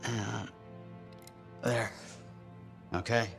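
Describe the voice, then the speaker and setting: Game role: fidgety, absorbed male tinkerer, low, gravelly, hesitant muttering. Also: steady tone, drawn-out words, over music, exaggerated voice. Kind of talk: video game voice acting.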